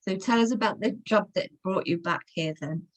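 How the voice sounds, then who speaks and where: A woman's voice: medium pitch, normal speed, low-quality recording. A woman interviewing a guest in casual conversation, encouraging her and laughing along.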